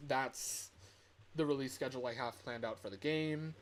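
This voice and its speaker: A man talking casually on a livestream as a game developer, thinking aloud in a hesitant, halting way. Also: medium pitch, a little hiss or hum.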